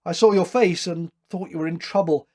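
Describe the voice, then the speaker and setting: Man acting a courteous, composed gentleman, slightly husky. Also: sits high, a little fast, voice pushed up. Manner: formal, courteous. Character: composed, resolutely cheerful, polite gentleman suitor.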